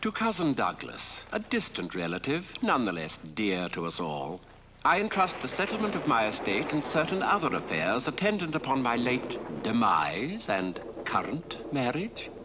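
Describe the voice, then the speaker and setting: An actor playing a suave, sardonic lord who delivers a film monologue with formal, mock-affectionate deliberation. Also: male voice, medium pitch, huge pitch swings, normal speed, noisy background. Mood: smug, sardonic. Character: sardonic, suave dead lord reading his own will.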